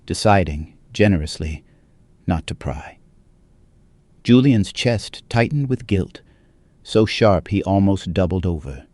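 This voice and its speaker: Deep mellow guy narrating a dramatic story, solemn and measured, really dry sound. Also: expressive, no room sound.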